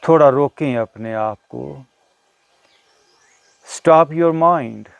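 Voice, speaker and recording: Slow, deliberate man guiding meditation outdoors. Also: fairly high pitch, gravelly. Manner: slow, deliberate, with short pauses between phrases. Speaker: yoga and meditation teacher. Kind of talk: guided meditation. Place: outdoors in a natural setting. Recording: outdoor recording with birdsong behind. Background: birdsong.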